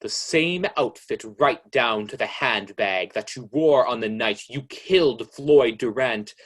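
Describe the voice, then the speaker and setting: A man performs an ominous male narrator from an old-time radio mystery. His voice is mid-pitched and a little husky. He taunts his guilty listener with sardonic, deliberate accusation. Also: a bit bright.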